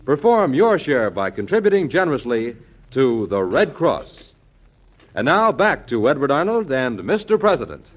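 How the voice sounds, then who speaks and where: Lively-pitched American radio announcer man. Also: normal speed. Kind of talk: 1940s scripted radio announcement. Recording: dull old radio recording.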